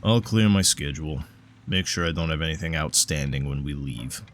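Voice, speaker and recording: A man voicing a gruff, cynical investigator in a hardboiled audio drama, terse and dryly sardonic. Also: rumbling low, normal speed, very noisy. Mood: weary resignation. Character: gruff, cynical male investigator, world-weary smoker.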